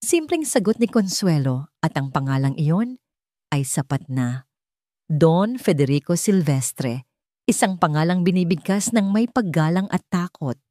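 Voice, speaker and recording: A Filipino woman with a fairly low voice narrates a scripted drama. Her delivery is measured and theatrical, like an audiobook of serial fiction. The recording is dry and close. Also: expressive, mellow, no room sound.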